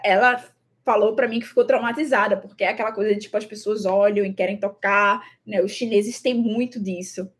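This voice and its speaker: Husky-voiced Brazilian woman. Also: mid-range voice. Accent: Brazilian Portuguese. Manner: thoughtful, repeats words, many fillers. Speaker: traveling vlogger. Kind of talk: casual talk to camera.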